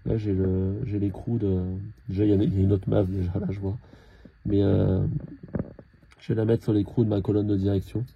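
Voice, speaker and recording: A man talking casually and haltingly through hands-on work, thinking aloud as he goes. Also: on the low side, vocal fry, highs cut off, noisy background. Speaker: car mechanic hobbyist filming his own repair. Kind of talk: casual hands-on tutorial narration.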